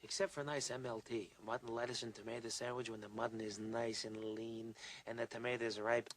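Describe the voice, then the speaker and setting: A man's voice: medium pitch, little pitch movement, brisk, lightly acted. A quirky old healer from a fantasy comedy film, played by a man. The film clip carries faint noise and ambience behind the voice. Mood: savouring.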